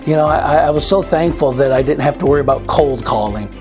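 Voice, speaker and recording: A man, an insurance agent and former teacher, telling his story in a relaxed, conversational way. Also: fairly high pitch, quick pace. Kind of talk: testimonial. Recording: background music.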